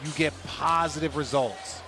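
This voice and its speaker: Male color commentator, fairly high voice, conversational game analysis over a roaring arena crowd. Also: normal speed. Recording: loud arena crowd behind voice.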